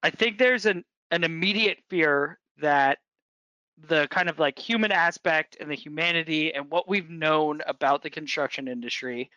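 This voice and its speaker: A man hosting a casual podcast chat, friendly and quick in his runs of speech. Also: fairly high pitch, pushed and bright, clean tone, no room sound, lo-fi sound.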